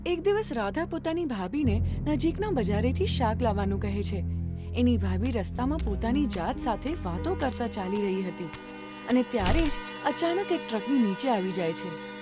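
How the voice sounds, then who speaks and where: A woman reads a scripted story for children as the narrator. Her voice is on the high side, and music plays clearly behind it. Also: animated, moderate pace.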